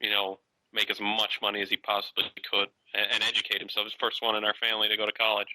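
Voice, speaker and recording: A man in his thirties calling in, picking his words hesitantly with fillers and restarts. His voice sits low with a forceful edge, recorded dry. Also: completely flat delivery, fast talker, dry recording, poor audio. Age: 30s. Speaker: caller. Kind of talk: casual phone-in conversation.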